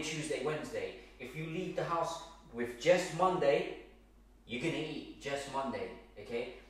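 A man's voice: fairly high pitch, a little hiss or hum. Emphatic man in a very echoey kitchen. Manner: emphatic, repeats points, keeps asking okay. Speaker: fitness coach. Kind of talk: direct-to-camera fitness tips. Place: large bare home kitchen. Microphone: far camera, no visible mic. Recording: very echoey room, from far off.

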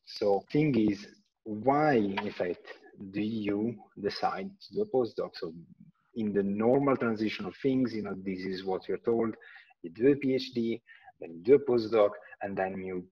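A man in his thirties from Italy, co-hosting an online panel in a relaxed, joking way with many fillers. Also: on the low side, breathy edge. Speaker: co-host and postdoc. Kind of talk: casual online panel chat. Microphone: video call. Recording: muffled distant video call audio.

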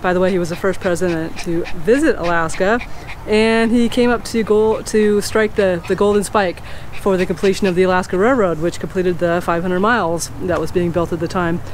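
A woman's voice: on the low side, a little hiss or hum. A middle-aged woman talking casually and informally to camera, explaining things as the host of a travel vlog. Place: outdoors in parking lots and a park. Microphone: handheld camera at arm's length.

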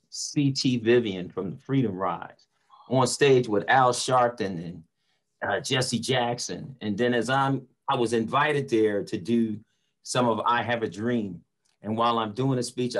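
A middle-aged man, a motivational speaker, telling stories conversationally over a video call from a room at home. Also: fairly low pitch. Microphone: computer microphone on a video call.

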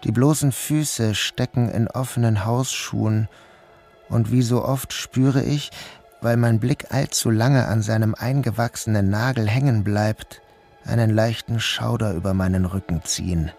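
Introspective guilt-ridden son, narrating. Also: male voice, on the low side, unhurried, vocal fry, darkish tone, faint music behind. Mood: uneasy, observant. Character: troubled, guilt-ridden grown son narrating his own story. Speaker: first-person narrator and protagonist. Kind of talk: literary audio drama narration.

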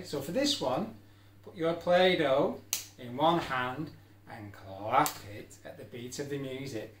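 Casting: male teacher, middle-aged, slightly high-pitched, unhurried, guiding children through activities with encouragement. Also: slight background noise. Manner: encouraging, simple step-by-step phrasing. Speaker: special-needs school teacher leading an activity. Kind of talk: children's instructional exercise video, simple encouraging instructions. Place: indoor room at a wooden table. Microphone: camera a few feet away. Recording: echoey room, music in the background.

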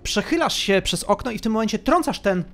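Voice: medium pitch, expressive, a little fast, a bit bright, slight background noise. A game master narrating a tabletop roleplaying game and adding vocal sound effects.

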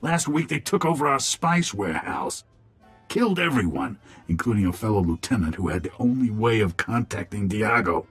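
An actor playing a shady alien gangster lieutenant in a video game, with a husky, gravelly voice. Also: male voice, lowish voice, expressive, normal speed, a bit bright, no room sound, faint music behind. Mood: businesslike, grim. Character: shady alien male gangster lieutenant running a desert club. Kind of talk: video game dialogue acting.

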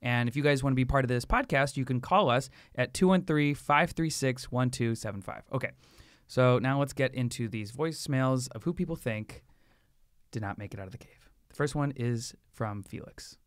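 Brief: male podcast host, smooth clear voice, upbeat, close dry mic. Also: mid-range voice, moderate pace, no room sound. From South Carolina. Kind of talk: casual podcast chat.

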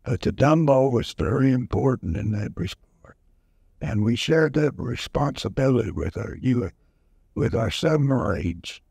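A man in his nineties slowly recalling wartime service in an oral history session, halting with many fillers, speaking into a handheld mic. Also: mid-range voice, lively intonation, vocal fry, darkish tone, slightly rough, no echo, studio quality. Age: over 90. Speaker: veteran interviewee. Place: museum event room, indoors. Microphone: handheld mic held close.

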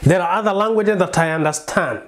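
A man's voice: high voice, a little fast, fairly bright tone. A man in his thirties from Congo whose first language is Bembe, speaking earnestly to camera in a small home study on a lapel mic. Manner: earnest, insistent, gesturing with his hands. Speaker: preacher and singer presenting himself. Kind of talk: direct-to-camera monologue.

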